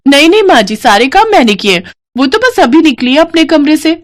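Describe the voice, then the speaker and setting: A woman's voice: high-pitched, very animated, a little fast, dry recording, clean studio sound, voice pushed up. A woman voicing a sly, lazy young twin sister in a moral story, wheedling and falsely sweet. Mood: wheedling, indignant. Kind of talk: acted dialogue in a Hindi moral story.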